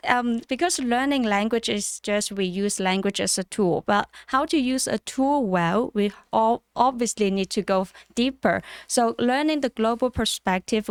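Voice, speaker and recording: Soft-spoken Chinese woman with a smooth voice. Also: mid-range voice, no echo, studio quality. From China. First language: Cantonese. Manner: measured, with frequent ums and pauses. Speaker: interview guest, MBA student. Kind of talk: casual interview answers. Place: in person at a summit.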